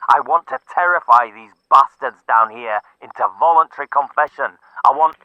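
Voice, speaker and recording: A man's voice: mid-range voice, normal speed, no room sound, narrow, tinny audio, voice pushed up, natural voice. A man voicing a defiant, exasperated police inspector, insistent and sardonic, in an audiobook. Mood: urgent, grim.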